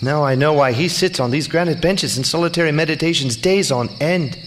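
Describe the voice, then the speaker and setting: An actor plays an obsessed, romantic male artist in an audio drama. His voice is on the high side, with dreamy, theatrical musing. Crickets and clear night noise sit behind him. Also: a little fast, fairly bright tone, close to an everyday voice. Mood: rapt, musing. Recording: noisy outdoor night with crickets.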